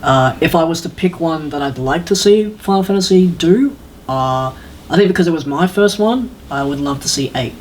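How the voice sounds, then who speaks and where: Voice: medium pitch, animated, moderate pace. A podcast host chatting casually and opinionatedly about films, rambling along and acting out imagined lines. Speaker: podcast host reviewing video game films. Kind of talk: casual opinionated panel chat.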